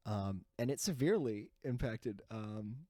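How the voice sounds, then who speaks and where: A man's voice: fairly low pitch, lively intonation, measured pace, warm tone, no room sound, studio quality. A man in his twenties co-hosting a podcast, rambling and self-deprecating with many restarts. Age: 20s. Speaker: podcast co-host. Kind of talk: casual banter.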